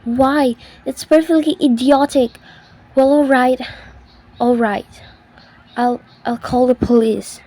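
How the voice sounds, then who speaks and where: An actress plays a wealthy wife in an old-style radio thriller, with a high, mellow voice. She is imperious and easily agitated, pressing every demand with haughty, fretful insistence. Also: steady tone, slow pace, long pauses, heavily acted.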